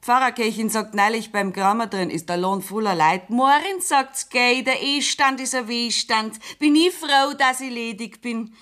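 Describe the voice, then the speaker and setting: Complaining Bavarian farmwife played by a woman, higher voice, 1960s radio drama. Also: normal speed, hardly any pauses, fairly bright tone, lightly acted. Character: fretful Bavarian farmer's wife, scolding absent husband. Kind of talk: 1960s radio play acting.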